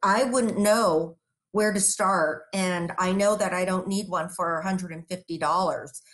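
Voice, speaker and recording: A woman in her forties hosting a conversational session from home, speaking reflectively in measured, halting phrases. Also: fairly low pitch, fairly even intonation. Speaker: session host. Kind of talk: conversational interview on a video call. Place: room at home, indoors.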